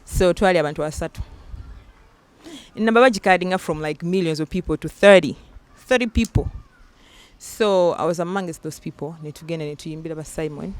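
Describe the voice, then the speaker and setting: A woman's voice: on the low side, fairly quick. A young Ugandan woman singer, chatting in an interview and acting out the people she quotes. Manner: animated storyteller, acts out quotes. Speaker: singer guest. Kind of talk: casual interview, mixing Luganda and English.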